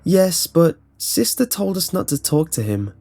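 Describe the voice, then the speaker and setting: Man putting on an eerie, innocent small child, higher-pitched. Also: sing-song, unhurried, creaky, warm tone, full character voice. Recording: voice-over with music behind.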